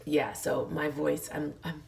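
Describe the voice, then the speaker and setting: A middle-aged woman talks to her viewers in a chatty, encouraging way, her low voice rough and gravelly because she is hoarse. She sounds a little distant from the mic, and faint background noise sits under her words. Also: level tone, measured pace, darkish tone. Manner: chatty, many fillers, earnest encouragement. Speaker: lifestyle vlogger. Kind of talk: casual motivational talk to camera.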